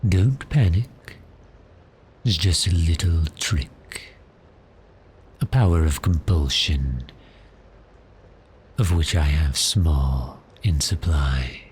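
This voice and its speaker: An extremely deep-voiced male vampire, acted by a man toys politely with his prey in an ASMR roleplay. He speaks very slowly and deliberately, with courteous menace. Faint ambient noise sits behind the voice. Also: darkish tone. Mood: polite, hypnotic menace. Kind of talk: slow ASMR roleplay acting.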